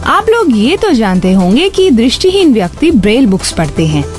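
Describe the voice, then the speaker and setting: An Indian woman presents on radio from a script as a co-host. Her pitch is high and swoops in a lively way, with soft music underneath. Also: normal speed. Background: background music.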